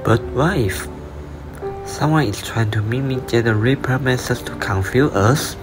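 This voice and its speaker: A man plays a loyal, careful detective's assistant in a radio drama. His medium-pitched voice reasons hesitantly and deferentially, with music clearly behind it. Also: unhurried, lightly acted. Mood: doubtful. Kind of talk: student English radio drama acting.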